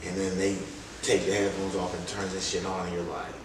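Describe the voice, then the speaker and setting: Deep-voiced young man, very echoey room. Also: monotone, a little fast. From Columbus, Ohio. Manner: slangy, reflective. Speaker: guest, music artist. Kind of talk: casual interview storytelling. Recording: echoey room, distant mic, noisy background.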